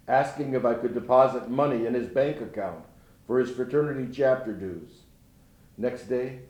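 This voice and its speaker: A man's voice: mid-range voice, a little mellow, lightly acted. An actor playing a grieving older grandfather who speaks in a folksy, rueful way in a dramatic monologue. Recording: roomy echo.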